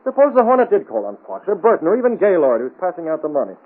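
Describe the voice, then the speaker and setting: A man's voice: high voice, wildly swooping pitch, fast, heavily acted. A man acting a determined crusading newspaperman in a 1930s-style radio drama. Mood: frustrated. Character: determined crusading newspaperman with a secret vigilante identity. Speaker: actor. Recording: muffled, narrow-band old radio recording.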